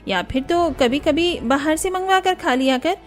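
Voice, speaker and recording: A woman's voice: sits high, normal speed, soft background music, natural voice. A woman playing a chatty, emphatic young married neighbor in a cartoon story. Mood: advising. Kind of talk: Hindi cartoon story dialogue.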